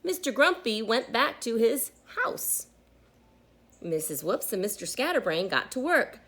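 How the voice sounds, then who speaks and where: A woman reading a children's book aloud like a teacher, deliberate and pausing so listeners can join in. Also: fairly high pitch, big pitch swings, fairly bright tone. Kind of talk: interactive children's read-aloud.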